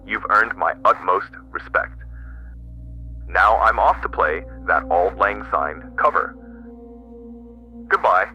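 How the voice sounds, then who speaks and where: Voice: low voice, slow pace, pauses often, bright, forceful tone, exaggerated voice. A man playing a dignified, grateful radio operator, formal and sincere in a farewell transmission. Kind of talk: radio drama farewell speech. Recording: muffled band-limited radio, music underneath.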